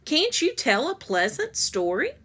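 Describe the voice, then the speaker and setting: A woman's voice: high-pitched, huge pitch swings, unhurried, fairly bright tone, voice pushed up, heavily acted. A woman voicing a practical, kindly mother in a children's book, mildly reproving and wry. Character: practical, kindly mother of two, a dog rescuer.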